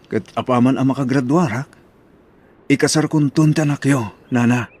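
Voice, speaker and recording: A man playing an earnest, devoted young college student in a radio drama, with a husky voice. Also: mid-range voice, normal speed, darkish tone, close to an everyday voice. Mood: earnest, respectful.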